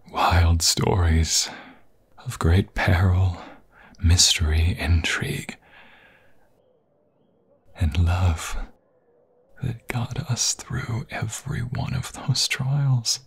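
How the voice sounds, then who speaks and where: A man as a slow, breathy, lovestruck vampire fiancé. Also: sits low, heavily acted. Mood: tender, intimate. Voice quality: breathy, husky, often dropping into whispers. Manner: slow, halting, emotional delivery. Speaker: ASMR roleplay performer. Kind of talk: intimate ASMR romantic roleplay.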